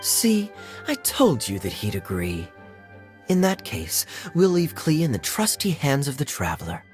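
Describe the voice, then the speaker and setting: Young male knight, lively intonation, smooth, wry, nonchalant, video game acting. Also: fairly high pitch, unhurried, soft background music. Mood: amused.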